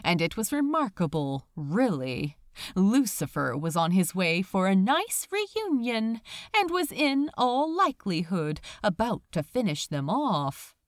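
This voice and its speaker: A woman reading fiction aloud in an expressive, audiobook-style narration. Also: mid-range voice, a little slow, no echo, clean studio sound. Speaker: fan fiction reader.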